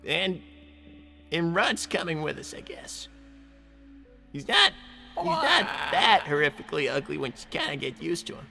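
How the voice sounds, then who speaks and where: A man voicing an anxious, excitable young fox sidekick, flustered and stammering over repeated words, improvising in character on a livestream. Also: a little high, moderate pace, vocal fry, long pauses, faint music behind, full character voice. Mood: earnest, hesitant.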